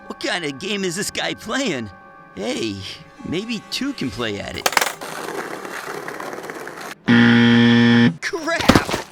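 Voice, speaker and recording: A man's voice: extremely high pitch, exaggerated voice. A man voicing a plucky, nervous teenage boy in a motion comic, slangy, exclamatory and prone to stammering. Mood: straining, alarmed. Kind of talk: animated character acting for a motion comic.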